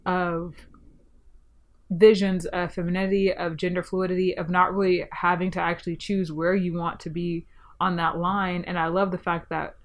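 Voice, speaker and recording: A young woman talks through a solo podcast in a slightly low voice, eager and chatty, with plenty of ums along the way. Her nose sounds a little stuffy from a cold. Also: flat delivery, moderate pace. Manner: enthusiastic, chatty, frequent ums. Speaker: podcast host.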